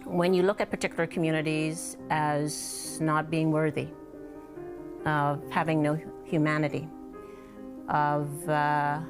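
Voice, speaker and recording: A woman giving measured, articulate explanations as a documentary interviewee. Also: low voice, flat delivery. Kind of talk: documentary interview commentary on environmental racism. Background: instrumental music.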